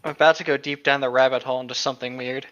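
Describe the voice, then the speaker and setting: Muffled lo-fi mic, man, medium pitch, casual banter, joking, profane. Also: flat delivery, very fast, a bit bright. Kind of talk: casual group chat.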